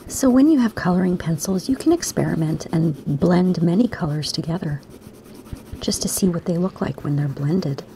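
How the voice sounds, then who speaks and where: A woman, slightly hoarse today, gently talking kids through a drawing lesson in a soft, half-whispered voice. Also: lowish voice, lively intonation, normal speed, slight background noise. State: raspy, slightly sore throat. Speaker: art teacher for kids. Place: home room by a window.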